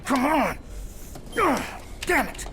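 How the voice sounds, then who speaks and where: A man playing a brash, excitable, foul-mouthed hacker in a video game cutscene, talking in a cocky colloquial way. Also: extremely high pitch, takes it slowly, background noise, exaggerated voice. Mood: frantic.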